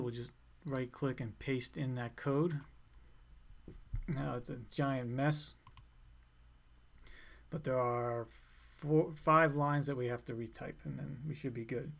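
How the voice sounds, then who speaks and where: A man giving an informal step-by-step software tutorial in a plain, instructional way. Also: medium pitch, gravelly. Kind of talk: step-by-step screencast walkthrough, informal.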